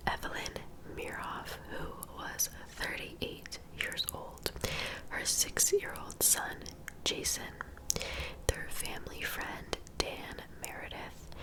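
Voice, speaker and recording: A woman narrating true-crime ASMR in a soft, breathy whisper, with an informal, conversational manner. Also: low-quality recording.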